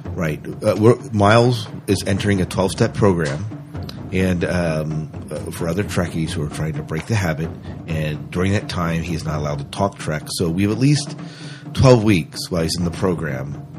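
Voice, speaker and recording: A man's voice: low-pitched, normal speed, over music. A man co-hosting a podcast in casual chat, with a joking, teasing manner. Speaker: podcast co-host.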